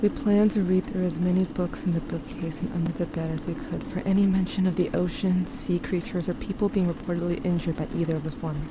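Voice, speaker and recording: A woman plays a guarded teenager narrating an audio drama over clear background noise. The voice is on the low side, introspective and wry, confessing grief and guilt as if to someone lost. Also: steady tone, quick pace, hardly any pauses, natural voice. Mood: wry, matter-of-fact. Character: guilt-haunted, guarded teenage narrator grieving a dying loved one.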